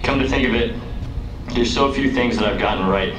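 A man's voice: mid-range voice, fairly quick, noisy background. A young man speaking at a podium on an outdoor lawn, delivering an earnest commencement speech that rises to emphatic declaiming. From United States. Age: young adult. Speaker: student speaker. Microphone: podium microphone, recorded by a distant camera. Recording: roomy, distant, dull outdoor PA sound.

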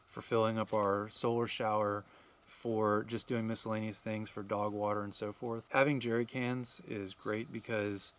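A man in his forties gives practical camping tips to the camera in a steady, explaining way, speaking outdoors at a wooded campsite. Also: fairly low pitch. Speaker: RV travel vlogger. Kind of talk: instructional vlog to camera.

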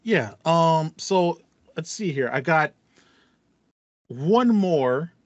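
A man's voice: on the high side, expressive, drawn-out words, no echo. A man in his thirties chatting casually as a podcast co-host, rambling enthusiastically and repeating phrases, on slightly muffled call audio. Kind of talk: casual chat about video games. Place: small home room with acoustic foam. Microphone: headset, video call.

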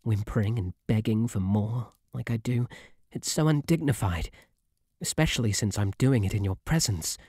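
A man with a British accent playing a shy, devoted, submissive bunny butler in an intimate ASMR roleplay, softly spoken with a formal, deferential, faltering manner. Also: on the low side, normal speed, slightly rough, no echo, clean studio sound. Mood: embarrassed, shy. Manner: flustered, deferential, formal, stammering. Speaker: roleplay audio performer. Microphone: close, dry microphone.

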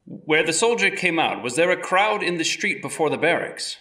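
A man's voice: a little high, sing-song, normal speed, bright, forceful tone. A man playing a formal, probing 18th-century lawyer examining witnesses in a scripted trial re-enactment. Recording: roomy echo, distant from mic.

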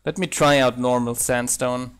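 A man's voice: mid-range voice, fairly even intonation, normal speed, slight background noise. A young man whose first language is German, with a slight German accent, chatting casually and thinking aloud while streaming a building game. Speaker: mod developer streaming gameplay. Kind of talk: casual live-stream chat while playing.

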